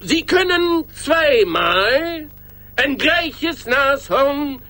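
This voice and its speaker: An actor playing a self-important professional logician in a radio play, formal, precise and lecturing. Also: male voice, very high voice, expressive, extremely slow, bright, forceful tone, full character voice. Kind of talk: 1980s German radio play acting.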